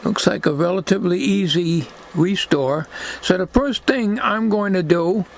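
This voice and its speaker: An older man talking casually as he works at a bench in a home workshop, plain-spoken and musing, with halting delivery. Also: high voice, vocal fry. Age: 60s or older. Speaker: hobbyist radio restorer. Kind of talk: casual talking while working. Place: cluttered home electronics workshop, indoors. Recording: noisy home workshop recording.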